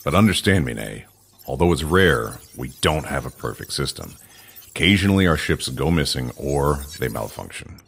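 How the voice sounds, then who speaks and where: An actor playing a commanding, guarded alien council official in a sci-fi audio drama, with a gravelly, husky voice and questioning manner. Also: male voice, a bass voice, big pitch swings, moderate pace, fairly bright tone, full character voice. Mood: reassuring, cautious. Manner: authoritative, questioning.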